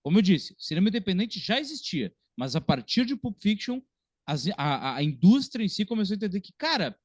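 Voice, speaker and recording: A man's voice: sits high, big pitch swings, brisk, no room sound, clean studio sound. A Brazilian man in his thirties hosting a podcast, talking about film in an informal, talkative, conversational way. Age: 30s. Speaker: podcast host.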